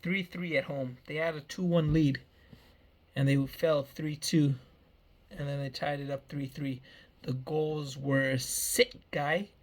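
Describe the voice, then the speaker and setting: A man's voice: a little high, level tone, slow. A man in his early thirties giving a meandering personal life update, often repeating himself and restarting phrases. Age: 30s. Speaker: vlogger.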